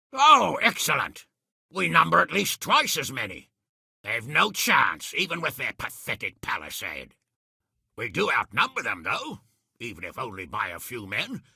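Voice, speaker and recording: A hot-tempered medieval lord and commander from a video game. His voice is very forceful and hard-edged, gravelly and husky, with blunt, blustering delivery. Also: mid-range voice, big pitch swings, unhurried, dry recording, clean studio sound, exaggerated voice. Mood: resolute. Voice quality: raspy, gravelly. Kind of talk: dramatic video game voice acting.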